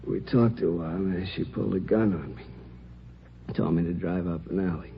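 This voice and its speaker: A muffled old radio recording of a wounded man, low and gravelly. He talks in halting, slangy phrases, sheepish and weakened by his injuries, as in a 1950s detective drama. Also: a little hiss or hum. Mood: shaken, defensive. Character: wounded married man, shot robbery victim, sheepish and plain-spoken.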